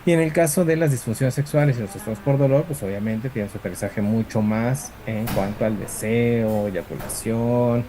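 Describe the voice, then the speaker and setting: A man's voice: medium pitch, normal speed, few pauses, noisy background. A man, lecturing an online class over a video call, explaining step by step with many fillers and tag questions. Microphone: computer mic on video call.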